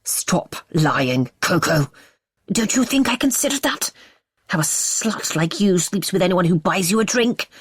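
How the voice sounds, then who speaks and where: Seething controlling man, low-voiced, slow menacing snarl, read by a woman narrator. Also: animated, breathy edge, dry recording, clean studio sound. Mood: furious.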